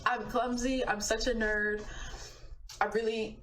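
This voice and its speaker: A woman in her twenties chatting casually on a livestream, relaxed and self-deprecating about herself. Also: fairly high pitch, unhurried, recorded from a distance, rough recording. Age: 20s. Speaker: singer and producer on a livestream.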